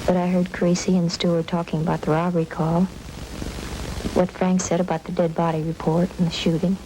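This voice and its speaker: A woman playing a policeman's frank, caring confidante in an old-time radio drama, earnest and measured, with an American accent. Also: fairly low pitch, close to an everyday voice. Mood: gentle, probing. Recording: noisy old radio recording, steady hiss.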